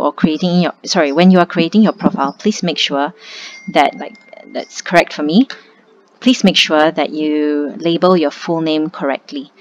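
A young woman, tutoring in a relaxed, conversational step-by-step screen walkthrough. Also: deep voice. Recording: slightly muffled with faint hiss.

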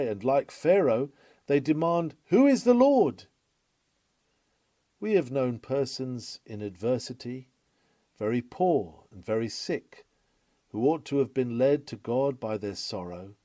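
A man reading a scripted sermon with formal, measured, oratorical delivery. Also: medium pitch, mellow, dry recording. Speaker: reader of a historical sermon.

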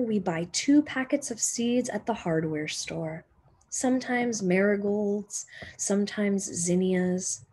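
Slow, slightly husky woman reading a storybook. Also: mid-range voice, darkish tone. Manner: deliberate, dramatic pauses and emphasis. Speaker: teacher reading a picture book aloud. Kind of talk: expressive children's storybook narration.

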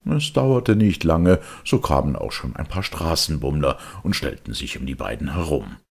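A man's voice: a bass voice, sing-song, normal speed, creaky, slightly rough. A man narrating a children's audiobook expressively, dramatic and speaking straight to the listener. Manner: dramatic, addresses listeners directly.

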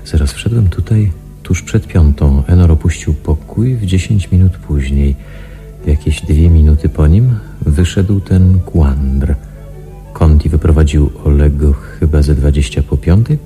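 A very deep-voiced brooding investigator. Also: male voice, warm tone. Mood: calculating, intent. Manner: musing, deliberate, measured. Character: watchful, analytical undercover investigator thinking to himself. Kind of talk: radio play inner monologue. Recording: music clearly under the voice.

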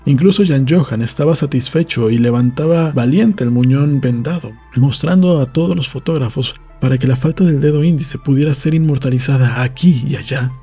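Man, literary narration, soft dark tone, husky edge, measured storytelling, faint background music. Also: medium pitch. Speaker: audiobook narrator. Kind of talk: scripted literary narration, novel read aloud.

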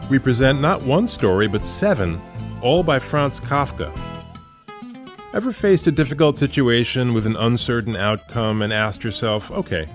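A man hosting an audio drama podcast, delivering a scripted introduction. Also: medium pitch. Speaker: podcast host and producer. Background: music.

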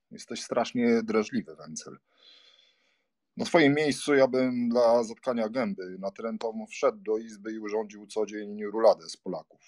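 Man playing a low-voiced, bantering worldly baron. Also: a little mellow, no room sound, studio quality, lightly acted. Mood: chiding. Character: worldly, bantering baron, diplomat friend of the count. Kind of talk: dramatised audiobook reading.

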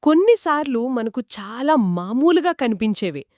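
A woman's voice: fairly high pitch, very animated, moderate pace, darkish tone, no echo, clean studio sound. A woman co-hosting a children's story, asking questions and reacting with curiosity in a conversational style. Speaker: co-host listening and asking questions.

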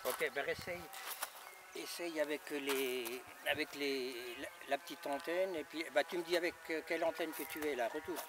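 A man's voice: on the high side, steady tone, normal speed. Man on radio, distant and noisy. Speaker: amateur radio operator. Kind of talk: casual radio check chat. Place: outdoors in a gravel yard by a house. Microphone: camera a few metres away. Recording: distant camera mic, noisy open air.

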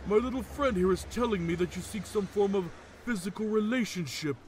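A man voicing an eccentric, excitable old gentleman in a comedy cartoon, florid and over-dramatic. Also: high voice, a little fast, slight background noise, lightly acted. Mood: theatrical, knowing.

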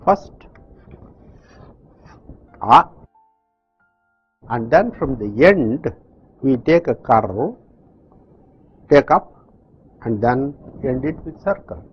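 Slow older man with South Indian accent. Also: medium pitch, animated, husky, dull audio, faint music behind. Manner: slow, deliberate explanations with pauses. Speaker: language teacher. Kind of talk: slow classroom instruction. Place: classroom with chalkboard.

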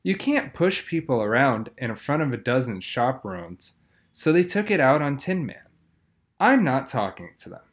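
A man's voice: medium pitch, big pitch swings, normal speed. A man reading a children's novel aloud, telling the story expressively with plenty of emphasis. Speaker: audiobook reader.

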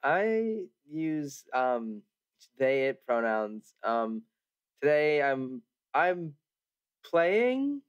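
A man's voice: mid-range voice, sing-song, extremely slow, smooth. A man bantering casually as a player on a tabletop game podcast, hesitant and joking, through a slightly dull microphone.

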